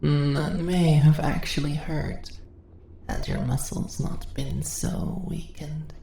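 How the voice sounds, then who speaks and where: A woman voices a predatory spider-woman in a fantasy ASMR roleplay, very slow and creaky. She is dominant and seductive, toying with her prey in teasing purrs and whispers. The sound is roomy and echoing. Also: sits low, dark tone, exaggerated voice. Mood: taunting, seductive. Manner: teasing, purring, slow, often dropping into whispers. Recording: roomy echo, faint background noise.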